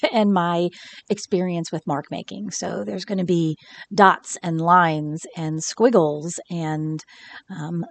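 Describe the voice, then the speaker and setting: Casual craft-channel host, female, a bit scratchy from a cold, relaxed chatty voice-over, lower pitch. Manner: chatty and relaxed, with fillers and small laughs. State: slightly scratchy from recent illness.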